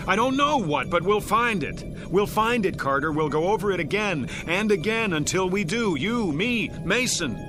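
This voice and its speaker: An actor playing a commanding spaceship captain in a radio drama, insistent and barking in short phrases. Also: male voice, sits high, expressive, moderate pace, few pauses. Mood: urgent, insistent. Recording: radio drama with music behind.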